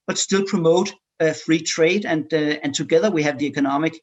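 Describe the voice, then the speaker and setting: A man from Denmark, speaking as a panelist in a policy discussion, pausing often with filler sounds and repeated words. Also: fairly high pitch, highs cut off.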